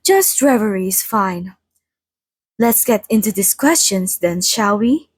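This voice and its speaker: A theatrical female vampire over a hundred years old, played by a woman, chats in a soft, husky, breathy voice. She is playful and mock-grand, like a hostess in an intimate ASMR roleplay. The sound is close and very dry. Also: medium pitch, expressive, a little slow, no echo. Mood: coy, friendly. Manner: playfully grand, mock-formal, dramatic, chatty. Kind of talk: ASMR roleplay, chatty in-character monologue. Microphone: close studio microphone, dry.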